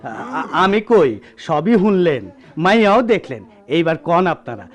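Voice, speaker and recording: A practical go-between from a village radio play. His voice is high and he nudges people along plainly. He speaks with a rural Bangladeshi accent. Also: big pitch swings, measured pace. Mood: prompting. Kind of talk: Bengali radio play acting.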